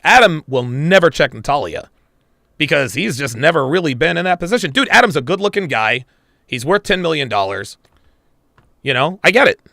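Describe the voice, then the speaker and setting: A man's voice: on the high side, big pitch swings, fairly quick, creaky, bright, forceful tone, dry recording, clean studio sound. A man hosting a live reaction stream, blunt and crude, swearing and talking to his chat viewers. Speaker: podcast host reacting to a clip.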